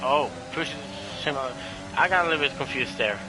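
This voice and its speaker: A man's voice: fairly high pitch, expressive, normal speed, background noise. A man with a Norwegian accent, a second-language English speaker, doing solo live commentary of a sim race, hesitant with many fillers and self-corrections.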